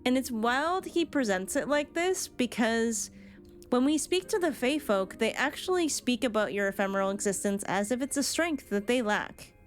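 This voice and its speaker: A woman's voice: fairly high pitch, lively intonation, normal speed, faint music behind. A woman streamer giving casual, reflective commentary on a game, analytical and happy to swear.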